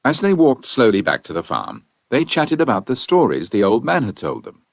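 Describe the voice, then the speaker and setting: A man narrating a scripted children's story in a measured, dramatic storytelling manner. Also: mid-range voice, wildly swooping pitch, creaky.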